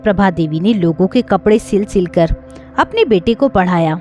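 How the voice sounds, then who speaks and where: A suspicious, gossipy elder of an old-age home questions things in a story , acted by a woman narrator. The intonation is animated and jumps about. Light music sits in the background. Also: mid-range voice, moderate pace, lightly acted. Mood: gossipy, curious.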